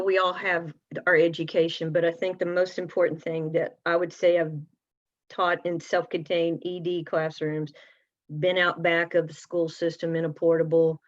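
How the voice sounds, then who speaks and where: Flat, low-voiced woman on a dull call. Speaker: co-presenter, special education teacher. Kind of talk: conversational webinar talk.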